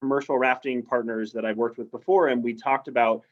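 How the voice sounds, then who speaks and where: A man's voice: mid-range voice, steady tone, fairly quick. A man from the United States, a guest in a casual interview, speaking in an upbeat, persuasive way over a video call. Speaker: interview guest, outdoor adventurer. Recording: slightly dull, lo-fi audio.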